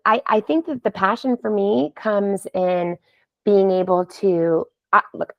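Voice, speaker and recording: A woman's voice: medium pitch, vocal fry, no echo. A woman speaking casually and enthusiastically as an interview guest on a podcast. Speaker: podcast guest, wellness app founder. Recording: slightly dull lo-fi audio.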